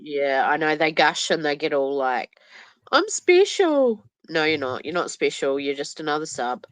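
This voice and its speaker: Stuffy-nosed woman with a lively voice. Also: deep voice, moderate pace, dry recording. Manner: joking, laughs easily. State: stuffy nose. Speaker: livestream host. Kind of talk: casual livestream chat.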